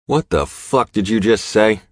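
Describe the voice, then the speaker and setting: A tough, hot-tempered biker with a protective streak, acted by a male audiobook narrator. He speaks bluntly in a very low voice. The sound is dry. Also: lively intonation, a little slow, a little mellow, dry recording. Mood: angry, barking. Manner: barking, blunt.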